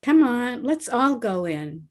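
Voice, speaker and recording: Teenage daughter, radio comedy adult actress: eager, coaxing girl with a high voice. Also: very animated, slow, a little mellow. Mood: coaxing, earnest. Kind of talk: old-time radio comedy acting.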